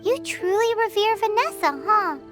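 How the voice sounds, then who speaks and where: A tiny, caring fairy sidekick from a video game. The voice is extremely high and childlike, swinging up and down with earnest feeling, over clear background music. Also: female voice, animated, moderate pace. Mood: curious. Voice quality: squeaky, childlike. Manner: refers to self in third person, concerned. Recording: music playing behind the voice.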